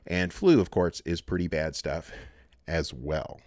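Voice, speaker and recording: A man teaching a chemistry lecture in a relaxed way, with many fillers and restarts. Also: low-pitched, a little slow, dry recording, clean studio sound. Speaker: chemistry instructor. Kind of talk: recorded lecture.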